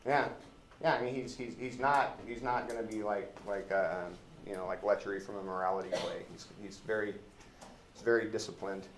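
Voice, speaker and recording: American guy teaching a class, kinda low voice, rambly and jokey, lots of room echo. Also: steady tone. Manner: halting, rambling, colloquial; joking. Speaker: teacher leading a class discussion of a play. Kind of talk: informal classroom lecture and discussion. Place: indoor classroom. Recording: roomy classroom echo, background hiss.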